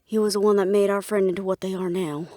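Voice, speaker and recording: An actress plays a guarded, businesslike woman in a supernatural audio drama. Her voice sits at an average female pitch, with serious, measured delivery. The recording is very dry, with hardly any room sound. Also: level tone, warm tone, no room sound, close to an everyday voice. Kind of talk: audio roleplay drama, scripted dialogue.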